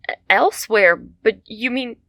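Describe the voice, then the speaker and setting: A woman's voice: a little high, unhurried, creaky, a bit bright, dry recording, studio quality, full character voice. A woman voicing a poor young mother, anxious and pleading, desperate yet proud. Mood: shocked. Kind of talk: amateur radio play acting.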